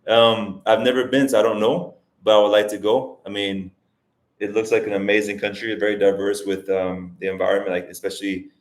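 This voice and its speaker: A man's voice: fairly low pitch, level tone, a little fast. A man around forty hosting a casual livestream, answering viewer questions and thinking aloud with frequent restarts. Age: 40s. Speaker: livestream host. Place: lives in Colombia as an immigrant.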